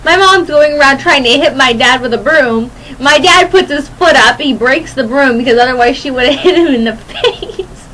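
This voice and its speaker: A young woman hosting a radio show, rambling casually to listeners in a chatty, playful, animated and giggly way. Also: sits high, moderate pace, hardly any pauses, poor audio. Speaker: radio host.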